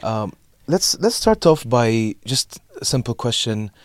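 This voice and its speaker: A young man from Saudi Arabia co-hosting a podcast, asking casual questions in a hesitant, halting way with many fillers. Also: mid-range voice, very animated, no room sound. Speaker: podcast co-host.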